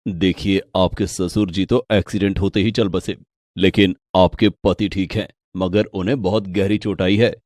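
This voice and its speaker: A man voicing a grave, matter-of-fact hospital doctor with a measured, serious manner in a storybook tale. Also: sits low, steady tone, darkish tone, no room sound, studio quality, lightly acted.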